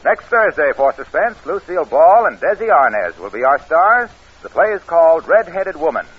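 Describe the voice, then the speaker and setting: An American man working as announcer and pitchman, reading scripted copy in a 1940s radio style. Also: big pitch swings, moderate pace, slightly rough, soft background music. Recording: dull old radio recording.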